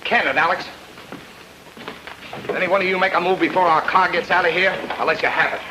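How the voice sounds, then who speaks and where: An actor playing a hard-boiled bank robber who barks curt, threatening orders in an old-time radio crime drama. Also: male voice, high-pitched, steady tone, brisk, natural voice. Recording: noisy vintage radio recording.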